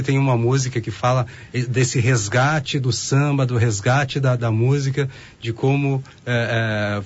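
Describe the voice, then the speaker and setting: A man, hosting a radio show and asking his guest friendly, measured questions. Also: medium pitch, highs cut off. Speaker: radio host. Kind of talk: radio interview. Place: radio studio.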